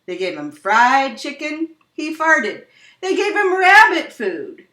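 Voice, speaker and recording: A woman reads a children's story aloud with dramatic emphasis, taking her time over the words. Her voice is bright and swings widely in pitch. The recording is roomy and picked up from a distance. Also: very animated, slow. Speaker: storyteller reading a children's picture book aloud. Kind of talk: expressive read-aloud storytelling. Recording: roomy echo, distant microphone.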